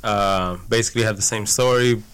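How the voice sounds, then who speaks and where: A man's voice: lowish voice, fairly even intonation, a little fast, fairly bright tone, smooth. A young man from Houston answering casually as an interview guest, in a reflective, informal way. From Houston, Texas. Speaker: youth soccer coach, podcast guest.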